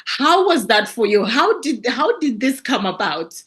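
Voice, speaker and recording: A woman's voice: on the high side, very animated, unhurried, fairly bright tone, husky. Chatty woman hosting an interview. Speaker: host. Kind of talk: casual interview.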